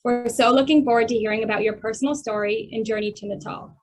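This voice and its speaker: A woman's voice: fairly high pitch, fairly even intonation, fast, over music, poor audio. A woman co-chairing a council and moderating a virtual event, polite and scripted. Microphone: video call.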